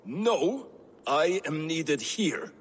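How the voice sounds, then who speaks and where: An actor playing a bitter middle-aged Viking warrior in a video game, sarcastic and contemptuous. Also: male voice, a little high, big pitch swings, drawn-out words, breathy edge, long reverb tail, full character voice. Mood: scornful. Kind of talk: video game cutscene acting.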